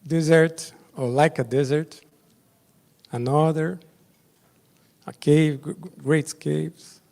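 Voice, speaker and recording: A Brazilian judge whose first language is Portuguese, giving a conference presentation in halting English with short, simple phrases. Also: male voice, a little high, fairly even intonation, gravelly, warm tone. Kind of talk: slide presentation at a summit. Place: large hotel conference hall. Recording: long reverb of a big hall.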